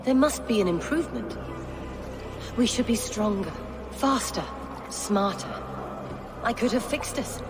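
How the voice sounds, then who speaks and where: An actress playing a dying, fanatical nun healer in a video game, wistful, obsessed and dramatic. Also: female voice, on the high side, expressive, unhurried, long pauses, over music. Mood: fervent regret.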